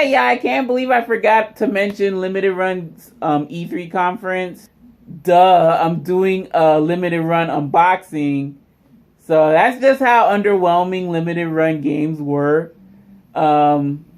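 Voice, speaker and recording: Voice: mid-range voice, measured pace. A vlog host chatting casually and informally to viewers. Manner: informal, addresses viewers as y'all. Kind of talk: casual unboxing vlog commentary.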